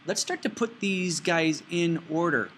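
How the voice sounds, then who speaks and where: A man teaching an informal step-by-step software tutorial, playfully talking himself through each action out loud. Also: on the high side, a little slow, slight background noise.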